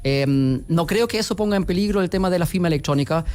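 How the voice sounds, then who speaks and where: A man's voice: high-pitched, quick pace, darkish tone. A man with a German accent, giving hesitant, filler-heavy answers as a guest on a radio interview. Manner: hesitant, many fillers and restarts. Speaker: guest, company executive.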